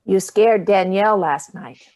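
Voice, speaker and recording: A woman's voice: medium pitch, unhurried, a little mellow, lightly acted. Older mother played by an actress: female, guarded, dryly sardonic, clipped delivery, stage drama reading. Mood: coolly probing.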